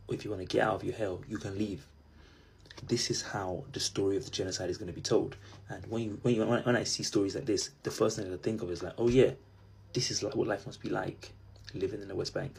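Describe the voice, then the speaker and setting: A man's voice: on the low side, creaky, a little mellow, slightly rough. A man from Israel giving an impassioned political commentary, with bursts of emphasis.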